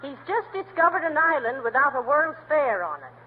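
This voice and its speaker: Dry-witted wife. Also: female voice, sits high, big pitch swings, normal speed. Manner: dry, teasing one-liners. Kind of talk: 1940s radio comedy acting. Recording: tinny, hissy old radio broadcast.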